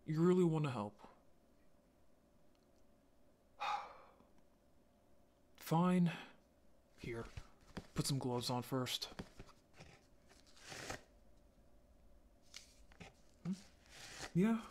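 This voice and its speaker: A man playing an awkward, gentle young male zombie in an intimate roleplay audio drama, stammering and hesitant. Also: medium pitch, close to an everyday voice. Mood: hesitant, shy.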